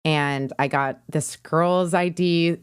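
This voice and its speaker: A woman guest on a podcast tells a casual story in a chatty, conversational way. Her voice sits fairly low, and the sound is dry with little room around it. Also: steady tone, takes it slowly, smooth, dry recording. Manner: chatty, peppered with "like", laughing as she talks.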